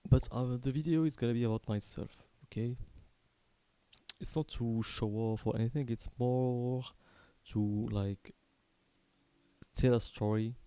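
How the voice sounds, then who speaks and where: A man in his twenties from Morocco tells a personal story unscripted, in a halting, candid, casually sweary way. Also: medium pitch, steady tone, mellow, clear, resonant tone, no echo. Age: 20s. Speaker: video creator telling his life story.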